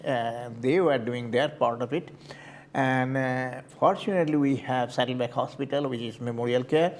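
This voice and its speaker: Older man with an Indian accent. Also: mid-range voice. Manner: halting, repeats words while searching for them. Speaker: community board candidate. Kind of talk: interview answers.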